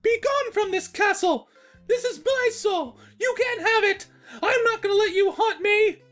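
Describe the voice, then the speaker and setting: A woman's voice: very high-pitched, measured pace, fairly bright tone, faint music behind, voice pushed up. A woman voicing a panicky, lovestruck young male cartoon dragon who blurts out lines frantically. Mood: frantic defiance.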